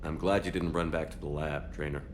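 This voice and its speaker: A man's voice: rumbling low, steady tone, fairly quick, background noise. A man playing a steadfast space military commander in a video game, speaking in a firm, direct, resolute way. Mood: dry, easygoing. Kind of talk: video game dialogue acting.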